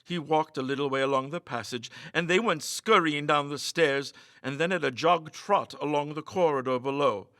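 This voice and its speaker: A man's voice: fairly high pitch, moderate pace, few pauses, pushed and bright, no echo, clean studio sound. A man reading a ghost story as dramatic, suspenseful scripted narration, in a theatrical manner. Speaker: story reader.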